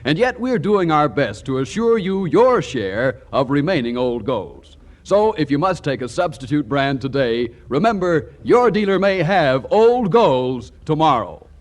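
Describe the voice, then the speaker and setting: A man's voice: a little high, very animated, measured pace, hardly any pauses. An American man announcing for a sponsor on 1940s radio in a polished, salesmanlike manner. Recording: vintage 1940s radio broadcast.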